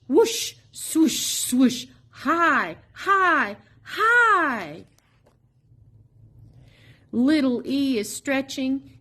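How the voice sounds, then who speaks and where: A woman reading a children's picture book aloud expressively, in a sing-song, drawn-out way with playful emphasis on sound words. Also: high voice, a bit bright. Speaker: storybook reader at a public library.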